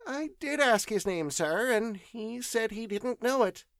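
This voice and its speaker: A woman's voice: a little high, animated, a little slow, no echo, studio quality, voice pushed up. A man putting on a flustered old housekeeper woman. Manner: stammering, timid. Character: elderly flustered female housekeeper.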